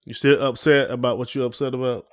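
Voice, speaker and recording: A man's voice: medium pitch, fairly even intonation, fast talker. A man co-hosting a podcast in casual chat, asking questions in informal, hesitant phrasing. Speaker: podcast co-host.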